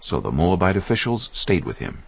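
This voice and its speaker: A man's voice: deep voice, sing-song, moderate pace, vocal fry, slightly rough. A man narrating a dramatized audio Bible as a scripted storyteller. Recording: slightly dull audio over soft music.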